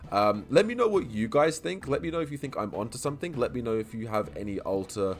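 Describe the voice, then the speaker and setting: A man's voice: medium pitch, expressive. Rambling, stuttering man in a lore vlog. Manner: rambling, repeats and restarts words; talks quickly. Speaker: YouTube lore creator. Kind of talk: casual game-lore vlog commentary.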